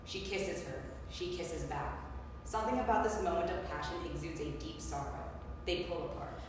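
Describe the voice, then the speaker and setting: A woman's voice: lowish voice, normal speed, close to an everyday voice. A woman giving scripted narration, reading out stage directions at a live play reading. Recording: echoey hall, distant mic, clear noise.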